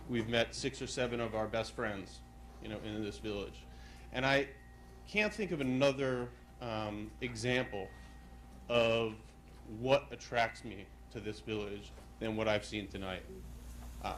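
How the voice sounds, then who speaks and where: A man's voice: on the low side, fairly bright tone, a little hiss or hum. A young man giving earnest, heartfelt public comment as a resident at a village meeting.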